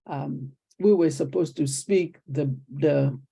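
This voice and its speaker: Older Nigerian woman, gravelly and mellow. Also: low voice, takes it slowly. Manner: animated storyteller acting out quoted exchanges. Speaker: guest, retired teacher. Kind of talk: conversational interview storytelling.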